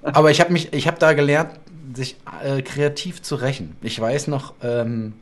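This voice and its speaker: A man in his forties hosting an interview over a video call from a home studio, chatty and lively when he tells anecdotes. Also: mid-range voice, moderate pace. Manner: chatty storyteller, animated when telling anecdotes. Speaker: talk show host. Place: home studio room with bookshelves and colored lights.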